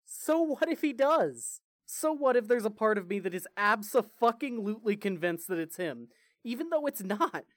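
A woman playing a grieving, foul-mouthed, defensive young man in an audio drama, with halting, vulnerable delivery. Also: on the high side, big pitch swings, no room sound, clean studio sound. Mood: bitter, frustrated. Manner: halting, swearing, raw and vulnerable. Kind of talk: fan fiction audio drama acting.

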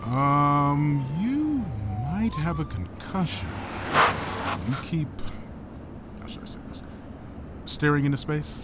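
A man's voice: on the high side, very animated, slow, pauses often, very noisy. A man playing a confident, easygoing rescuer in an audio drama, speaking in a casual, reassuring way. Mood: concerned.

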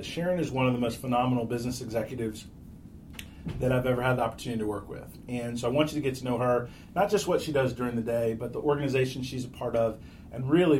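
A man's voice: medium pitch, a little mellow. A man hosting a podcast with casual, conversational interviewing. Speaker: podcast host. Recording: faint background noise.

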